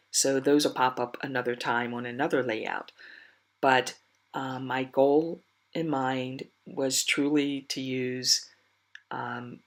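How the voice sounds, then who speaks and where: A woman with a stuffy nose who is partly losing her voice, chatting casually and rambling about her scrapbooking project. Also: very deep voice. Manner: chatty and rambling, with fillers and soft laughs. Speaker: scrapbooking vlogger. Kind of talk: casual crafting chat.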